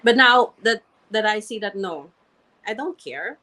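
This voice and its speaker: This woman speaks very slowly and deliberately, with a strong non-native accent in her English. As an interview guest she tells her story earnestly, looping back over her words and restarting her phrases. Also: medium pitch, animated, sharp tone. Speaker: interview guest, registered nurse and online marketer. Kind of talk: candid personal story in conversation.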